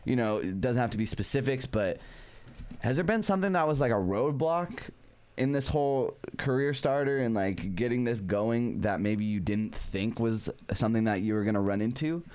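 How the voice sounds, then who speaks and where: A man's voice: mid-range voice, lively intonation, moderate pace, a little mellow, clean tone. A young man hosting a casual interview, rambling and self-deprecating, swearing freely and laughing often. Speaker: interview host.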